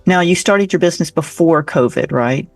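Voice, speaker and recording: Podcast host, female, lower register, casual and encouraging with guests, dry close recording. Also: normal speed, no echo, soft background music. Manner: upbeat, encouraging, chatty with fillers. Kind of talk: casual interview chat.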